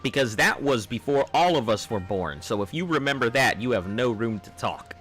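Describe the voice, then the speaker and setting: Man, baritone, game streamer, casual rambling chat, bright tone, faint music behind. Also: lively intonation, normal speed. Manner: rambling, loose, chuckling at chat.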